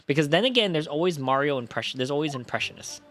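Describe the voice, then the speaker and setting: A man's voice: fairly high pitch, expressive, fast talker, fairly bright tone, soft background music. A young man streaming a game, chatting in a playful, rambling, self-mocking way. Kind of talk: live-stream game reading and chat.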